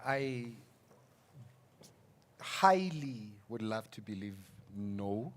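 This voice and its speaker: A man's voice: on the low side, very animated, very slow. A young South African man, a psychology student, speaking earnestly on a panel, repeating words as he searches for them. English is not his first language. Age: young adult. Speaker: student representative. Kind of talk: panel discussion.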